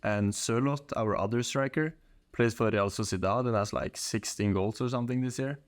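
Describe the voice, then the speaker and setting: A man's voice: mid-range voice, normal speed, no echo. A young man from Norway, chatting casually and informally as an interview guest. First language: Norwegian. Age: young adult. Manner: informal, slangy. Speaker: podcast guest, college soccer player.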